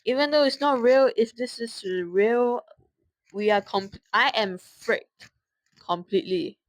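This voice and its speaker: A woman's voice: a little high, measured pace, no room sound, poor audio. A young woman from Singapore giving casual reaction commentary, informally talking back to the video she is watching.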